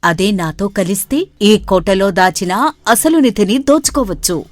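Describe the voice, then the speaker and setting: Woman as a sharp, fearless young bride, mid pitch. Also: a little slow, dry recording, lightly acted. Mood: determined. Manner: bold, defiant. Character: clever brave young bride.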